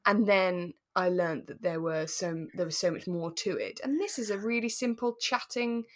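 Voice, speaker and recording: A woman's voice: on the low side, moderate pace. A British woman chatting casually and enthusiastically as a podcast co-host.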